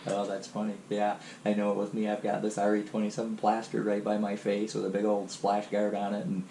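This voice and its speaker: Low-voiced ham operator with a cold. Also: male voice, brisk, creaky. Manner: relaxed, chatty, many fillers. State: has a cold. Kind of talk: casual radio chat. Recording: echoey room, faint noise.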